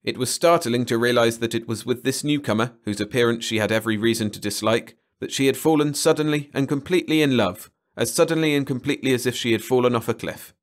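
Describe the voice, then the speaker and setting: A man's voice: mid-range voice, fast, few pauses. A man reading a short story aloud as a scripted bedtime audiobook narration.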